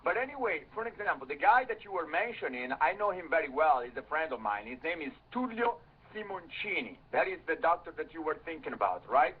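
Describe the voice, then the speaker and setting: A man's voice: a little high, very animated, a little fast, a little hiss or hum. A man speaking as an interview guest, emphatic, repeating words and piling up synonyms to press his point. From half English and half Italian, lived in many countries. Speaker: interview guest, health author. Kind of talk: animated interview monologue. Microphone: telephone. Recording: tinny, narrow telephone line.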